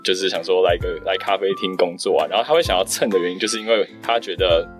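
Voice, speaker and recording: A man's voice: low-pitched, quick pace. A man chatting casually as a guest in group banter, joking and rambling as he goes. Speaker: voice actor, guest on a free talk. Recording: music behind the voice. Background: music.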